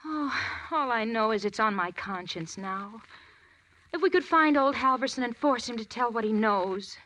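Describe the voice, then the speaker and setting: An actress playing a nervous, evasive widow in a 1940s radio drama, halting and flustered as she stammers through her lines. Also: a little high, animated, fairly bright tone, low-quality recording. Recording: old 1940s radio broadcast.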